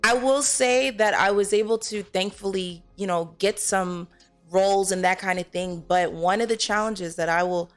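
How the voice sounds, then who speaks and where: A young woman chatting casually and candidly as an interview guest, telling stories in an animated way. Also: medium pitch, normal speed, soft background music. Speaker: guest actress being interviewed.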